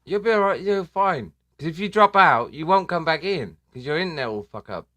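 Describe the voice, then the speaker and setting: A man chats on his live stream with a bright, forceful, slightly high voice. He jokes, teases, swears casually and puts himself down. The sound is close and dry. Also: lively intonation, a little fast, no echo. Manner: joking, self-deprecating, swears casually. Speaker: livestream host. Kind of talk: casual livestream chat.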